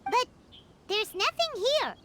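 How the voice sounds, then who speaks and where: A woman voicing a small excitable fairy-like girl companion in video game cutscene dialogue, chirpy and quick to blurt out reactions. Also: very high voice, heavily acted. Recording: game audio with loud background noise.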